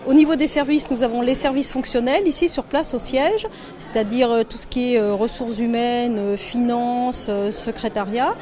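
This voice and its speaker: Woman official, slightly high register, unscripted explanatory interview delivery, hesitant and itemising. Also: normal speed, darkish tone. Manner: hesitant, explanatory, listing item by item. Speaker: local government administrator being interviewed. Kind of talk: spontaneous interview answers.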